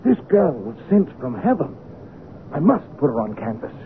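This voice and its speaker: An actor playing an intense, imperious painter obsessed with a lost love, brusque and fervent in old-time radio drama style. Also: male voice, on the low side, very animated, normal speed. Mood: agitated, fervent. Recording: tinny, noisy old radio recording.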